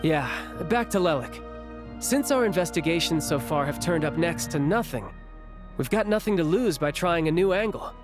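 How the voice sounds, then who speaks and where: A man's voice: fairly high pitch, animated, normal speed, lightly acted. A man playing an easygoing young soldier in a video game, conversational and a little wry. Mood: wry, thoughtful. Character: easygoing young male soldier, dutiful older brother. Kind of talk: video game dialogue acting. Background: game music.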